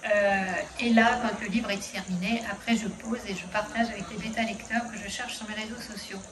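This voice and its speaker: A woman who is a schoolteacher and novelist, talking in a lively, emphatic, flowing way. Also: medium pitch, a little fast. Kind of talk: festival interview. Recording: echoey room with noise.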